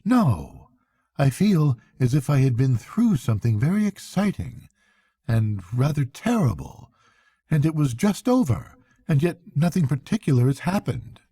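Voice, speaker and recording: A gentle little mole from a bedtime storybook, voiced by a man. He is earnest and slightly hesitant. The tone is mellow and relaxed, in a dry, close recording. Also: mid-range voice, a little slow, slightly rough, no room sound, voice pushed up, exaggerated voice. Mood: weary, wondering.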